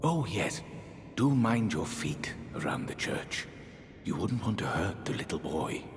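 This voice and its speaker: An older man playing a genteel, eerie aristocratic sorcerer priest in a fantasy game, speaking in theatrical archaic phrases with a breathy voice that drops toward whispers. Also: sing-song, a little slow. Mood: gently indulgent. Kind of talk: dramatic fantasy game character dialogue. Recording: echoey hall reverb, faint rumbling noise.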